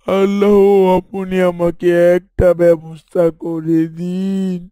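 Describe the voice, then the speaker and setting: A man's voice: sits high, little pitch movement, slow pace, warm tone, no echo. An actor playing a poor, devout, worried fisherman father in an Islamic story cartoon, pleading and sorrowful. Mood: pleading prayer. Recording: very noisy, birdsong and insects behind.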